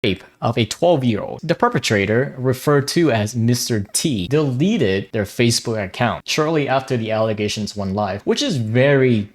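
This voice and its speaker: A man's voice: mid-range voice, lively intonation, normal speed, hardly any pauses. A young man from Vietnam, a native Vietnamese speaker, giving blunt, casual commentary on online drama, swearing freely and often restarting his sentences. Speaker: gaming commentary YouTuber.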